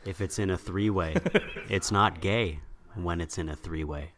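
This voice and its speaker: A young man guests on a casual podcast and throws in dry one-liner jokes. His voice sits very low in pitch. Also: moderate pace, a bit bright.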